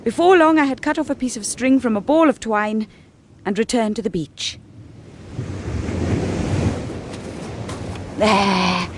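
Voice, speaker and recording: Woman as nervous young wife, period radio play. High voice, refined English accent, earnest, apologetic delivery. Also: normal speed, very noisy, natural voice. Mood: gentle, coaxing. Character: timid, anxious, well-bred young English wife. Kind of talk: radio drama dialogue and narration.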